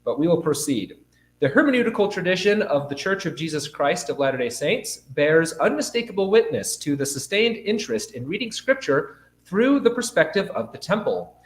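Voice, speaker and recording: A man's voice: on the high side, sing-song. A young man with a cold, formally reading a scholarly paper aloud as a conference speaker. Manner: formal, measured delivery. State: fighting a cold and cough. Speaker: conference speaker, academic presenter. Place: indoor conference room. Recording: roomy, echoing lecture space.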